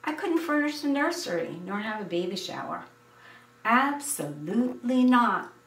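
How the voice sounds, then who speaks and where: An American woman in her seventies reading a scripted personal story in an expressive, deliberate way. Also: medium pitch. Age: 70s. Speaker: essayist reading her memoir. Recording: roomy, distant microphone.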